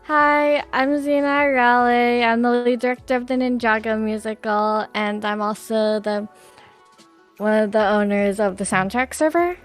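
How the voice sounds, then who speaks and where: A woman with a fairly high voice joins a podcast as a guest. She chats casually and informally, with soft music playing faintly behind her. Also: steady tone, unhurried, clear, resonant tone. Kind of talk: casual chat.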